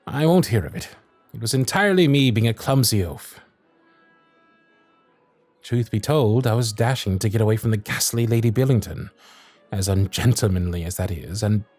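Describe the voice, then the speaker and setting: A proud young Victorian lord in an ASMR audio drama, speaking in formal, sardonic period phrases, as a man acts him out. His voice is husky and lively in pitch. Faint music plays behind him. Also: dry recording, exaggerated voice. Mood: apologetic.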